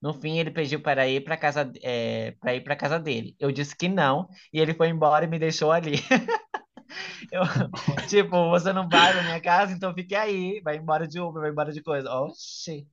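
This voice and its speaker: A man's voice: a little high, steady tone, brisk, a bit bright, highs cut off. A Brazilian man co-hosting a casual comedy chat, bantering in a campy, slangy way as he reads and reacts to stories. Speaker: podcast co-host.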